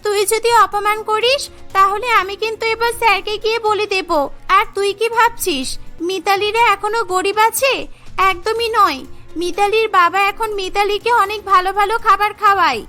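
A loyal young schoolgirl in a cartoon, acted by a woman, very high and bright. She is childlike, outspoken and assertive, with music behind her. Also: fairly even intonation, normal speed. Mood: scolding, defiant. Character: loyal, outspoken rich schoolgirl best friend.

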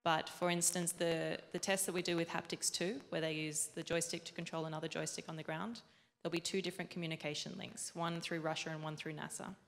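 A young woman explains her robotics work to a live audience, speaking clearly into a handheld mic and sometimes correcting herself mid-sentence. Her voice sits fairly low and rings with a long reverb from the big hall around her. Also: flat delivery, a little fast. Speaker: robotics engineer giving a talk. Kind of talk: conference presentation. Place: large event hall with audience.

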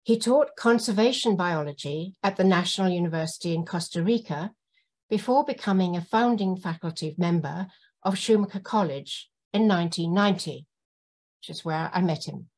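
A woman moderating a talk from a room at home over a video call, introducing the speaker with admiration, sometimes pausing mid-sentence. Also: on the low side, a little mellow.

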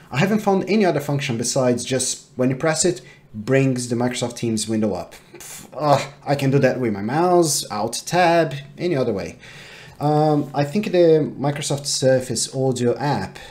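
A man in his thirties with a soft-edged, mellow voice talks through a product review in a small home office. His delivery is casual and halting, as if thinking aloud while he goes. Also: medium pitch. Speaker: tech reviewer.

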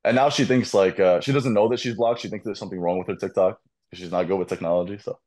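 A young man, a podcast guest and content creator, telling animated stories in casual chat over a video call. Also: low voice, fast talker. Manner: animated storytelling, laughs often.

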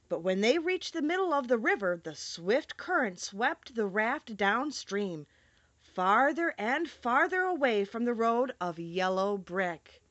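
A woman's voice: medium pitch, a bit bright, dry recording, lo-fi sound. A woman reading a children's storybook aloud as an audiobook narrator. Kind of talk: expressive scripted storybook narration.

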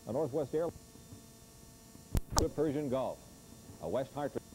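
A man's voice: mid-range voice, lively intonation, a little slow. An older man in his sixties anchoring the news from a TV studio. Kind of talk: scripted news reading. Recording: faint hiss, old TV broadcast.

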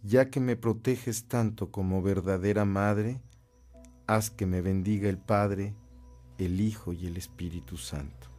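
A man plays a devout figure praying in a radio drama. His low, mellow voice moves slowly and reverently over soft music. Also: fairly even intonation, close to an everyday voice. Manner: reverent, measured. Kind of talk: recited prayer in radio drama.

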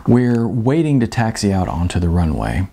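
A middle-aged man with an American accent and a gravelly, slightly husky voice, narrating his own first-person book as an author. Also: on the low side, big pitch swings, moderate pace, warm tone. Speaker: author reading his audiobook. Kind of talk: first-person audiobook narration with casual asides. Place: small indoor room, seated at a table.

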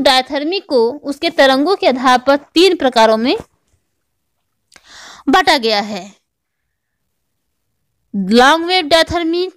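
Indian female tutor, high voice, didactic exam-prep delivery with repeated key points, very dry close recording. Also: no room sound. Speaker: online physical education teacher. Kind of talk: explanatory exam-prep lecture.

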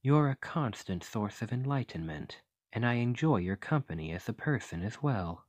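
A man playing a gentle, curious fantasy archaeologist in an ASMR roleplay, speaking in a formal, musing, articulate way. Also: mid-range voice, lively intonation, moderate pace, no room sound, close to an everyday voice. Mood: kindly, earnest.

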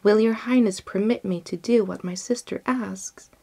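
A woman's voice: medium pitch, moderate pace, gravelly, mellow. A woman voicing a composed female storyteller in a storybook, formal and respectful as to a ruler. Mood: deferential. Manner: formal, deferential.

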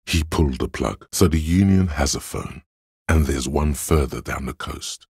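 Cold analytical inner voice in a detective game, super low and gravelly male, detached, deliberate delivery. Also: darkish tone, dry recording, clean studio sound, full character voice. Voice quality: very deep, gravelly, husky. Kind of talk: scripted video game narration.

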